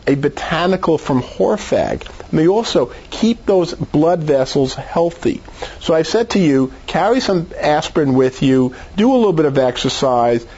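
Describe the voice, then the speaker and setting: A man's voice: fairly high pitch, a little mellow, muffled recording. A man speaking as a medical expert in an interview, giving conversational advice in an emphatic, persuasive way. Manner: emphatic, persuasive, rhetorical questions. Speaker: doctor, expert guest.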